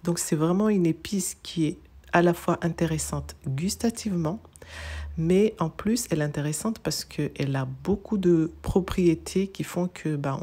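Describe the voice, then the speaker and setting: A woman from Mayotte gives an informative voice-over in an enthusiastic, chatty way. Her voice is very low, with a husky, breathy grain. She runs through lists of facts and drops in casual fillers. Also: warm tone. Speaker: wellness channel host.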